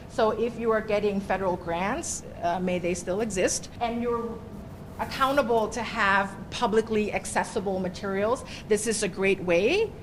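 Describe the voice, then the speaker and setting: Middle-aged woman prof talking thru a workshop talk, chatty, keeps saying right?, faint rumble in the room. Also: rough recording. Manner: chatty, often ends points with "right?". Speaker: university professor presenting at a workshop. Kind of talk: conversational presentation.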